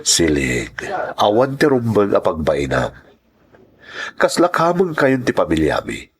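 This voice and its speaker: A solemn, kindly priest in an Ilocano radio drama. His Ilocano-accented voice is husky and slow. He speaks gently and earnestly, in a low register. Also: wildly swooping pitch, exaggerated voice. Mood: gentle, solemn. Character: kindly, solemn male priest reassuring a woman.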